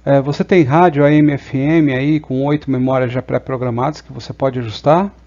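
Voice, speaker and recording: A man's voice: mid-range voice, fairly even intonation, normal speed, warm tone, lo-fi sound. A Brazilian man presenting a casual product review in an explanatory way, often checking in with short tag questions.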